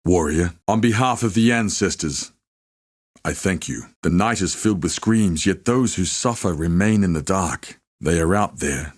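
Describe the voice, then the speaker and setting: A solemn warrior smith for a fantasy game, really low voice, formal n reverent, honorable type. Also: male voice, normal speed. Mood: grave, reverent. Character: solemn, honorable warrior armorsmith, devout spirit binder. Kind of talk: scripted fantasy game character lines.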